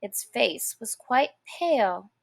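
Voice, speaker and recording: A woman narrates a children's story. Her voice is high and very animated in pitch, and she takes her time, reading slowly and expressively. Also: creaky. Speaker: storybook reader.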